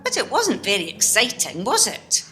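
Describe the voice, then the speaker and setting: A woman playing a disappointed, self-doubting wife in an amateur radio play, plaintive and expressive. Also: a little high, normal speed, exaggerated voice. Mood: rueful.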